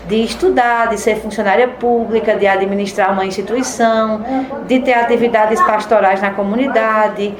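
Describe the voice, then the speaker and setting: Woman administrator, firm testimony, bright-ish pitch, roomy sound. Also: a little hiss or hum. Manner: firm, reminiscing, rhetorical questions. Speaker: institution administrator. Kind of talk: interview testimony.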